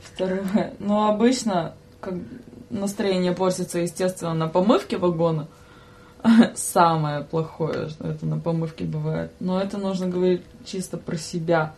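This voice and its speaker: A woman's voice: on the low side, normal speed, gravelly. A young adult woman, a guest in a casual chat, telling stories in slangy speech and acting out what was said. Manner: animated, slangy, giggly storytelling with acted-out quotes. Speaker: podcast guest, student train attendant.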